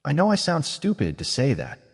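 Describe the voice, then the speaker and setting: A man's voice: a little high, huge pitch swings, fairly quick, husky, in a large hall, pitched above the performer's own voice, heavily acted. A man voicing a vain, playful witch woman who has been made young again, teasing and self-satisfied. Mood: mock-aggrieved.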